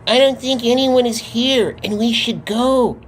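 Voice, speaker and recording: A man's voice: extremely high pitch, measured pace, slight background noise, pitched above the performer's own voice, low-quality recording. A man voicing a nervous young boy in a storybook read-aloud. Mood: scared, reluctant. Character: nervous young boy trick-or-treater afraid of haunted house.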